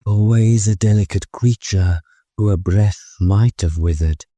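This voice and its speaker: A man voicing a solemn male ghost, speaking quietly and measured in an audiobook. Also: on the low side, dry recording. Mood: gentle. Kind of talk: audiobook character voice.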